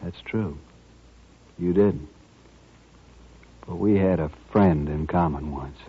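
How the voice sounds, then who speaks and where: A grim avenger in an old radio western. His voice is very low and husky, his delivery slow and deliberate, full of bitter accusation, on a muffled vintage recording. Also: exaggerated voice. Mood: quiet bitterness. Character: grim, bitter male avenger out to kill a gambler. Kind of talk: 1950s radio western acting.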